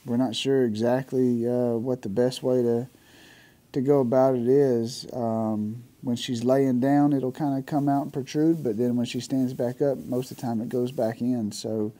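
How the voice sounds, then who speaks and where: A man, talking casually to camera about his farm, nasal from a cold, halting with many fillers and restarts. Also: mid-range voice, level tone, dark tone. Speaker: farm vlogger. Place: barn at night.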